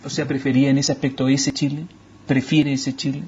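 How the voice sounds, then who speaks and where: A man's voice: medium pitch, level tone, fairly quick, a little mellow, highs cut off, slight background noise. A man interviewing a guest on TV, pressing with insistent follow-up questions.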